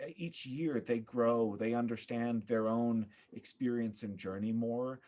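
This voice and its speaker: A man speaking as an interview guest, reflective and earnest, thinking aloud with halting, repeated phrases. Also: medium pitch, warm tone. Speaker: guest, theater director.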